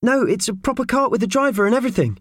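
Man voicing squeaky, excitable, anxious little Purvis. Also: quick pace, no echo, studio quality, exaggerated voice. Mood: anxious, apologetic. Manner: breathless, eager, fretful. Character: small excitable anxious young male friend, Purvis. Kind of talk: children's audiobook character voice.

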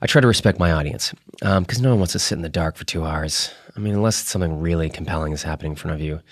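A man hosting a podcast, introducing material in a casual, halting, informal way. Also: deep voice, no echo, studio quality. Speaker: podcast host.

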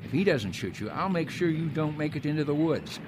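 A man's voice: fairly high pitch, big pitch swings, a little fast. A man playing a tough but anxious young American infantry soldier in a war radio drama, with a blunt, clipped manner. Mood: stern, threatening. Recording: battle sound effects and noise behind.

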